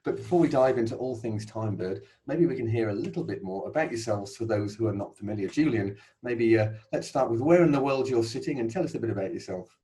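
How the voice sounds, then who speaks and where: A man hosting a podcast interview, chatting easily and asking relaxed questions. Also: medium pitch, quick pace, hardly any pauses. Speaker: podcast host.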